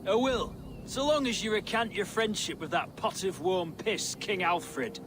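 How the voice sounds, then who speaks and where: A man's voice: high voice, animated, unhurried, background noise. A man playing a blunt, plain-spoken Saxon ally of a Viking warrior in a video game. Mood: stern, scornful. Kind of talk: dramatic video game acting.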